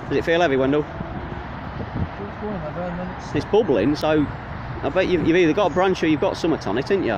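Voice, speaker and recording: A young man with an English Midlands accent, chatting excitedly while vlogging from a riverbank, full of tag questions. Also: on the high side, very fast, lo-fi sound. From England. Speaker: magnet fishing vlogger. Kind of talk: casual outdoor commentary. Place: riverbank outdoors. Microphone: handheld or body camera. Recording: outdoor wind and traffic noise.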